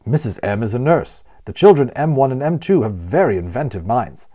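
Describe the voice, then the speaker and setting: A man's voice: medium pitch, lively intonation, a little fast, mellow, close to an everyday voice. A man voicing a genial, talkative man who explains things proudly and playfully as a guide in a storybook. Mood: enthusiastic. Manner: explanatory, emphatic, playfully wordy.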